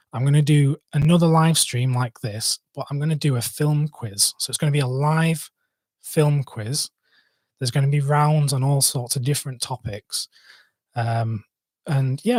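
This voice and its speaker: A man's voice: medium pitch, no echo. A young man from Manchester with a Mancunian accent, chatting casually on a live stream, rambling and hesitant with many fillers and restarts. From Manchester, England. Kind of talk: casual live stream chat answering viewers.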